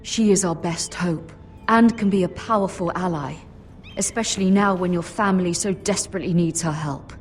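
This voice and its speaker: A woman's voice: on the low side, moderate pace, faint music behind, lightly acted. A woman playing an earnest, troubled noblewoman making a formal plea in a fantasy game drama. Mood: troubled, pleading. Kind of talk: video game drama acting.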